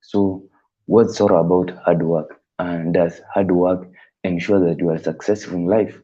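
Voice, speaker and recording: A man whose first language is not English, hosting a live stream in casual, halting talk that rephrases as he thinks aloud. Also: low voice, fairly even intonation, mellow, breathy edge, highs cut off.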